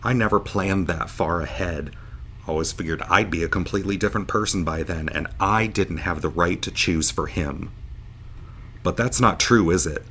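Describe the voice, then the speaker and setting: A man's voice: deep voice, normal speed, pushed and bright, a little hiss or hum. A man acting in an audio drama as a jovial, bewildered starship pilot just woken from a long sleep, informal and earnest. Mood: wistful. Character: jovial, bewildered starship pilot waking after seventeen years asleep.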